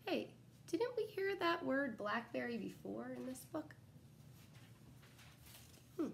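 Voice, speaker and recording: A young woman reads a picture book aloud to children in a fairly high, very expressive voice. She speaks like a teacher, breaking off for asides to her young listeners. Faint room noise sits behind her. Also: gravelly. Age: young adult. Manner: animated, pausing to ask young listeners questions. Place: small living room at home, indoors. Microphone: phone camera a short distance away.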